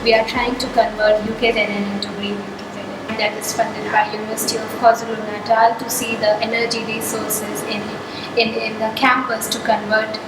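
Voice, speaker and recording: A woman's voice: fairly high pitch, flat delivery, a little fast. A woman researcher from India introducing her project fluently, with a few restarts. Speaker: researcher, co-supervisor. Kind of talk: self-introduction. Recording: echoey room, loud background noise.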